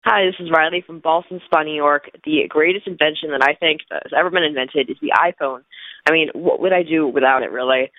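A man's voice: fairly high pitch, a little fast, dry recording. A man with an American accent leaving a casual comment as a caller. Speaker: listener calling in. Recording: thin, tinny phone line.

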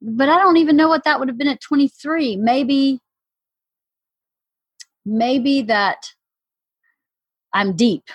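A woman guest in a casual chat, agreeable and quick to laugh, reflective when asked about herself. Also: high-pitched, dry recording, lo-fi sound. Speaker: podcast guest.

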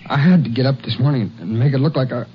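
A man's voice: medium pitch, fast, natural voice. An actor playing a frightened, anxious young man in a 1950s radio drama, speaking nervously and hesitantly. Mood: anxious, explaining. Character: frightened, anxious young male kid caught in crime. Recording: muffled, hissy old radio recording.

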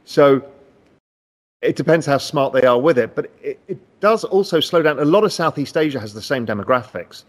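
A man in a finance interview, confidently explaining macroeconomics with animated emphasis. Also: medium pitch, moderate pace. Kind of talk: conversational market discussion.

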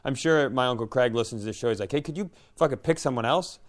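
A man co-hosting a casual chat, thinking aloud in a halting, reflective way and often breaking off to rephrase. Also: medium pitch. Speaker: podcast co-host.

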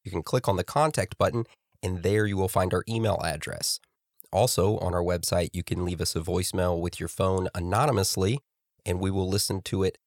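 A man co-hosting a casual podcast, sounding congested from a cold, relaxed and joking. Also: low-pitched, brisk, dry recording, clean studio sound. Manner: relaxed, jokey, laughs often. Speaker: podcast co-host. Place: studio across from co-host.

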